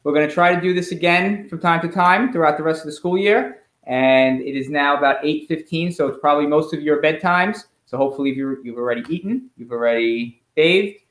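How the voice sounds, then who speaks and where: A middle-aged man, a school principal, reading a storybook aloud expressively and pausing with chatty asides and questions for children. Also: on the high side, a bit bright. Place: indoors at home. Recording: echoey room in a home.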